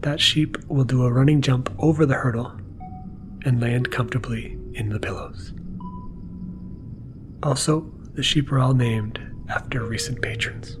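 A man hosting a bedtime podcast, narrating gently in a soft, half-whispered voice for relaxation. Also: steady tone, measured pace, background noise. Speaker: podcast host. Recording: music playing behind the voice. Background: mellow music and low-key sound design.

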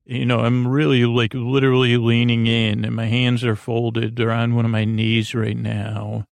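Creaky, flat-pitched man hosting a sleep podcast. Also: no echo. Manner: meandering, halting, full of pauses; lulling, soothing. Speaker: podcast host. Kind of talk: rambling bedtime sleep podcast monologue.

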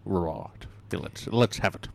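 A man's voice: low voice, sing-song, takes it slowly, vocal fry, a little mellow. A man co-hosting a comedy podcast, delivering absurd jokes completely straight-faced. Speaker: podcast co-host.

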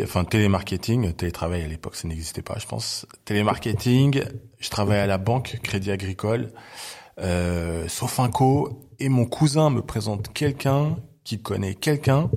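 A man in his forties with a very low voice tells his story as an interview guest. He talks loosely and easily, often stopping on fillers to find his words. He grew up in Belgium. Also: normal speed. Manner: relaxed, lots of hesitations. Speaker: guest, hip-hop journalist. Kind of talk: casual interview chat.

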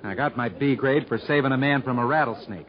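A man's voice: medium pitch, brisk, close to an everyday voice. A man acting a stubborn, sullen convict in a 1950s radio drama, speaking in plain rustic, defiant phrases. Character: stubborn, sullen male convict, proud and embittered. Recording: muffled, noisy vintage radio broadcast.

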